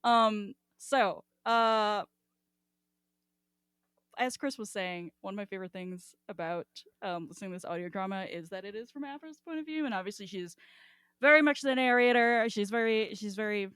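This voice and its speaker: A woman's voice: medium pitch, moderate pace, a bit bright, clear, resonant tone, no room sound. Young woman, giggly podcast chat. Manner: giggly, slangy, sweary. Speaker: podcast co-host. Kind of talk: casual chat about an audio drama.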